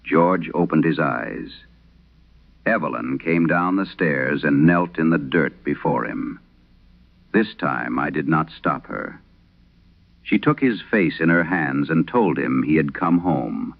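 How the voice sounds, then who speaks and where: Man playing a very deep, grave old priest. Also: lively intonation, gravelly, phone-quality sound, exaggerated voice. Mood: solemn, haunted. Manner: grave, measured, dramatic pauses. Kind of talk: solemn first-person horror radio storytelling. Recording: band-limited vintage radio sound.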